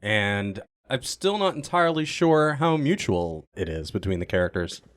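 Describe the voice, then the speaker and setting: A man's voice: on the low side, very animated, normal speed. A man chatting on a podcast panel in a wry way, with slightly hesitant phrasing. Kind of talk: casual banter.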